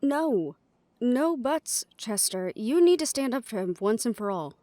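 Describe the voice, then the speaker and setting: Actress as protective big sister type, firm, wry, dry close sound, audio drama. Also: female voice, fairly high pitch, animated, a little slow, mellow, no echo, faint music behind. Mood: firm, insistent. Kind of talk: audio roleplay drama acting.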